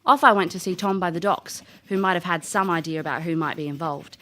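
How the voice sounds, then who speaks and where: A woman's voice: on the low side, brisk, fairly bright tone, lightly acted. An actress playing a cocky, blunt female elf detective in an audio drama. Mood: brisk, confident. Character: brash, wry female elf detective, ex-military. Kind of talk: audio drama dialogue and first-person narration.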